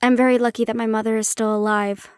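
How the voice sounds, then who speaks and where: Guarded, high-voiced hybrid creature played by a woman. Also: little pitch movement, fast talker, a little mellow, clear, resonant tone, dry recording, clean studio sound, close to an everyday voice. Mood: candid, wry. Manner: conversational, explanatory, teasing, guarded. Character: prickly, guarded young banshee-siren hybrid woman. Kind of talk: intimate audio roleplay, speaking to the listener.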